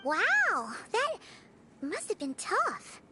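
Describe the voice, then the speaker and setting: A woman voicing a tiny, childlike, curious and excitable companion in a video game, with squeaky, wide-eyed exclamations. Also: extremely slow, soft background music, heavily acted. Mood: amazed, concerned. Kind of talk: scripted video game dialogue.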